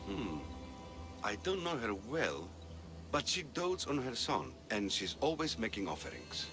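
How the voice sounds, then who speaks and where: A man playing a measured, gossipy ancient Greek townsman in a video game. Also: medium pitch, wildly swooping pitch, over music. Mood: reflective. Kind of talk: video game character acting.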